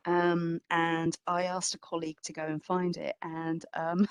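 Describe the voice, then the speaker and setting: Woman, slightly low pitch, dry sound, informal expert talk, reflective, conversational, emphatic. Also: level tone, measured pace, clear, resonant tone, dry recording. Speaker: exhibition curator giving a talk. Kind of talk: informal expert answer in a public history talk.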